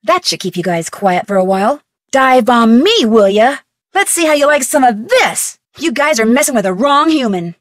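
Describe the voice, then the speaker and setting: A woman voicing a plucky, curious young detective in a video game, with an American accent and perky, clearly enunciated, expressive delivery. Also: a little high, normal speed, no echo, studio quality. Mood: defiant. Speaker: game character and tutorial guide.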